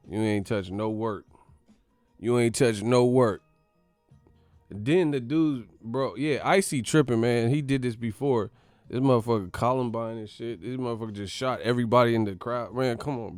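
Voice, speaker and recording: A man's voice: medium pitch, moderate pace, a little mellow, smooth, dry recording, soft background music. A man hosting a boxing channel who rants in a slangy, profane way, laughing and mocking as he talks. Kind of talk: profane comedic vlog rant.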